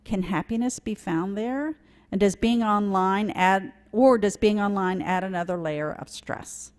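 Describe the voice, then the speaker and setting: A woman reading a children's story aloud during a church service, slow and expressive. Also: mid-range voice.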